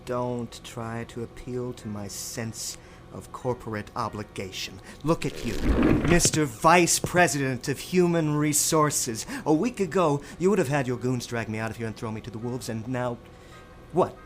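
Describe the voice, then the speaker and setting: A man playing a sardonic, righteous rebel power station operator in an audio drama, taunting and deliberate with sarcasm. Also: medium pitch, music in the background, close to an everyday voice. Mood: scornful.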